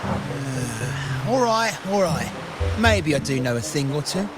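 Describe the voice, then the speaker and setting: A man's voice: a little high, very animated, slow pace. A man playing a greedy, easily flustered tavern customer in a video game, hesitant and blustering. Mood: sly, reluctant. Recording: loud music behind the voice.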